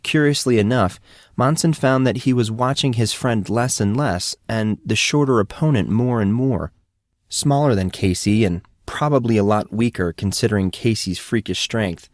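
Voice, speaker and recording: Male storyteller for fantasy audiobook, lowish pitch, scripted read, close dry sound. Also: dry recording. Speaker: audiobook narrator.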